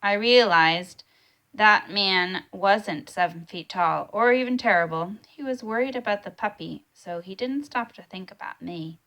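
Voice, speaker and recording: A woman's voice: medium pitch, unhurried, bright, forceful tone. A woman voicing a kindly old grandfather, telling a childhood tale with emphasis in a storybook read-aloud. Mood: reassuring.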